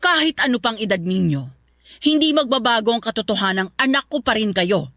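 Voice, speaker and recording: A woman's voice: on the high side, lively intonation, brisk, a bit bright, no room sound, clean studio sound. A woman with a Filipino accent playing a stern, bitter middle-aged mother in a radio drama, scolding and domineering. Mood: angry scolding. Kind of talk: Tagalog radio drama acting.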